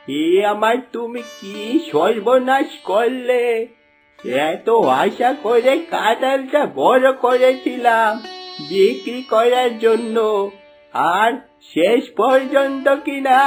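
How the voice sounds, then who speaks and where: A sorrowful, gentle blind beggar from a cartoon, acted by a man. He laments his poverty mournfully while music plays behind him. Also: very high-pitched, slow pace. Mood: despairing. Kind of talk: cartoon moral tale acting.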